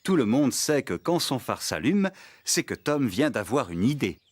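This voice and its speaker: An actor telling a children's tractor cartoon in an expressive, instructive way. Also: mid-range voice, normal speed. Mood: storytelling. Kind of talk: animated storybook narration and dialogue. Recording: music behind the voice. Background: cartoon music.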